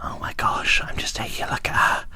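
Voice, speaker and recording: A woman whispering in a breathy, hushed, unhurried way, as if hosting an ASMR unboxing and describing small details. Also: dry recording. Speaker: host of a doll unboxing video.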